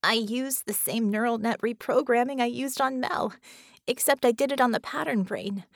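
A woman narrator voicing an excited young woman engineer, talking breathlessly in a high, rushing voice. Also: creaky, dry recording, clean studio sound. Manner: breathless, quick, high-pitched. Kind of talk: audiobook narration.

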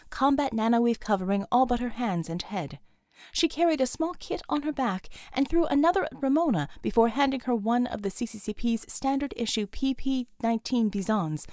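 A woman's voice: a little high, darkish tone, dry recording, clean studio sound. A woman reading scripted action fiction as a dramatic audiobook narrator.

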